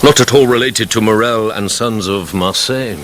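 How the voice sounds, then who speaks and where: Guy playing a cold courteous count out for revenge, deepish voice, very formal, radio play, slight noise behind. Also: a bit bright, close to an everyday voice. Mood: courteous, probing. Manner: formal, measured, icily polite. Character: cool, courteous, vengeful aristocratic count. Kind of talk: radio drama acting.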